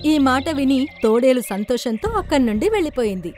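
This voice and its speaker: A woman with a high voice narrates a children's cartoon tale. Her scripted storytelling plays over clearly audible music. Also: expressive, fast, a little mellow. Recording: prominent music behind the voice.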